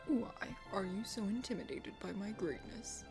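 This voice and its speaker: A woman's voice: medium pitch, moderate pace, voice pushed down, close to an everyday voice. A woman voicing a huge, arrogant male dragon prince in a game, haughty, boastful and scornful. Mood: haughty, taken aback. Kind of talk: dramatic game character reading. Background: music.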